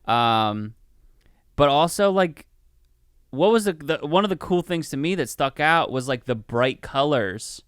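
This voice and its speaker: A man co-hosting a podcast in casual chat, opinionated and halting, often repeating himself as he goes. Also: on the high side, animated, smooth, no room sound, studio quality. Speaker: podcast co-host. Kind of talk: casual movie review chat.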